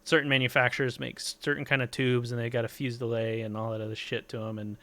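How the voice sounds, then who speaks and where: A man with a bright, forceful voice in casual stream chat. He rambles through long explanations, full of fillers and offhand swearing. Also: mid-range voice, level tone, fast talker. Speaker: streamer talking gear.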